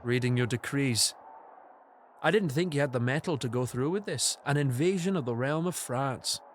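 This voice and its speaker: A man voicing a scheming, cold, ambitious young knight in an audio drama, smooth and condescending. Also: mid-range voice, normal speed, a little hiss or hum, natural voice. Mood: mocking.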